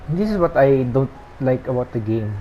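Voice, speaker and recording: A gaming streamer giving casual, low-key, somewhat hesitant gameplay commentary. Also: animated, moderate pace, lo-fi sound, faint music behind. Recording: clearly noisy, ambient background.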